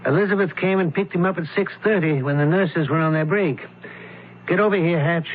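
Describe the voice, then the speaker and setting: An actor playing a formal, probing, commanding criminologist in a radio mystery drama. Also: male voice, on the high side, a little fast, natural voice. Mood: brisk, commanding. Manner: formal, precise questioning. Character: male criminologist and investigator, probing, commanding, learned. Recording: noisy, tinny old radio broadcast.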